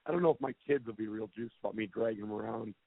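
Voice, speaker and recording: A man's voice: fairly low pitch, rapid-fire, creaky, no room sound. A man, a head football coach, answering reporters' questions on a press conference call in a candid, plainspoken, self-deprecating way. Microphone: telephone. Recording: tinny phone line, dry.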